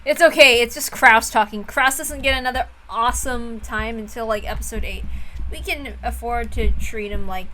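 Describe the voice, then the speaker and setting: A woman with a bright, fairly high voice reads a story aloud and chats casually. Her manner is informal and unfiltered, like a streamer's. A faint noise sits behind her. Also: normal speed, low-quality recording. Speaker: streamer reading a visual novel aloud. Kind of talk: casual chat and dramatic read-aloud of a story.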